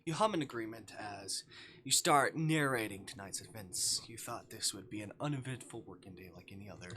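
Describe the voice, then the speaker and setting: A man's voice: on the low side, brisk, pushed and bright. A man streaming, reading visual novel narration and dialogue aloud expressively, with occasional stumbles over the text.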